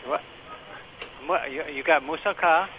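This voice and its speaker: A man's voice: fairly high pitch, unhurried. A man who manages a restaurant, answering a phone call and stammering, flustered and confused. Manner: flustered, stammering, repeats words. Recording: dull lo-fi phone recording with faint noise.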